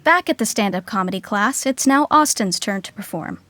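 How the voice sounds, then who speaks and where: A woman's voice: medium pitch, lively intonation, normal speed, fairly bright tone, no room sound, faint music behind. A woman giving wry scripted narration for a comedy story.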